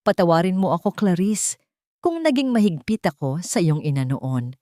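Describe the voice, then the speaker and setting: A woman's voice: fairly low pitch, lively intonation, moderate pace, dark tone, no room sound, clean studio sound, lightly acted. A woman voicing a remorseful, pleading old grandfather, a wealthy patriarch, in a solemn and sentimental audio drama style.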